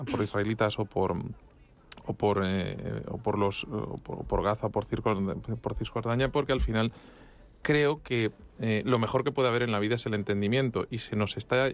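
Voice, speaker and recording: Spanish guy hosting a food radio chat, jokey, keeps restarting sentences. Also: fairly low pitch. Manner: joking, rambling with many restarts. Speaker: radio host and food critic.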